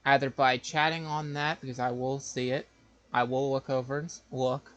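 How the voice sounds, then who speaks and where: A man chatting casually as a live game streamer, rambling and halting, often repeating himself. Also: medium pitch, flat delivery, a bit bright, dull audio.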